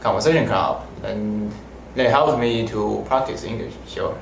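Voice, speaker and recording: A young man whose first language is Mandarin, answering interview questions in halting, simple phrasing. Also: fairly low pitch, vocal fry, a little hiss or hum. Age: young adult. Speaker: language student. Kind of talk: casual interview. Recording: roomy echo.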